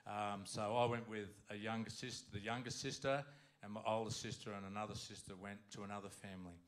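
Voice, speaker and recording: Low-voiced man telling his story. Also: little pitch movement, a little fast, fairly bright tone. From Wales. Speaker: church interview guest. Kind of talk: personal testimony.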